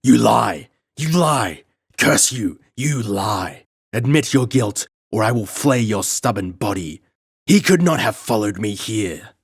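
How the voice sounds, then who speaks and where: A man voicing a cruel, maddened middle-aged nobleman who shouts in panting fury. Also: fairly low pitch, normal speed, slightly rough. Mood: furious.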